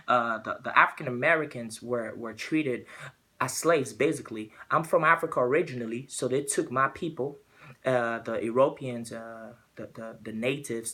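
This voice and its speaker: A man's voice: mid-range voice, sharp tone. Earnest man from Ghana giving a speech. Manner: earnest, repeats words while searching for phrasing. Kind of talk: persuasive speech.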